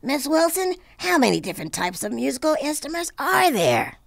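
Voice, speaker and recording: An eager cartoon shark student, voiced by a woman, gravelly and fried at the edges, pitched fairly high and swinging wildly up and down. It asks curious questions and joins in with playful noises, like a character from a kids' science show. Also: very animated, normal speed, dry recording, clean studio sound, heavily acted. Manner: eager, playful, makes sound effects. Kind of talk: educational cartoon voice acting.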